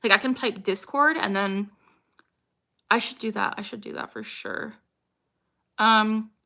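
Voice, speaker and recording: A young woman hosts a casual live Q&A, reading out questions and chatting in an upbeat way. Her voice is bright and pitched a little high. She laughs often, and sudden vocal tics cut into her sentences. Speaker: livestreamer answering chat questions. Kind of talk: casual livestream Q&A chat.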